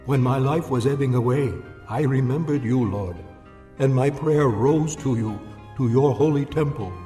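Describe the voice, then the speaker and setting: A man's voice: medium pitch, moderate pace, mellow, close to an everyday voice. A man playing an anguished, fervent Hebrew prophet in a dramatized Bible reading, declaiming solemnly. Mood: fervent prayer. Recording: echoey long reverb, faint music behind.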